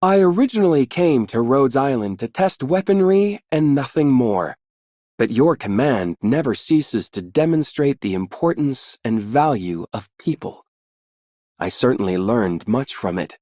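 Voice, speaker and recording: A composed, businesslike game operative. The voice is low for a woman and very mellow, measured and polite, recorded close and dry. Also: animated, dry recording, heavily acted. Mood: composed, candid. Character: composed, businesslike weapons-testing operative considering a job offer. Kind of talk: video game character voice line.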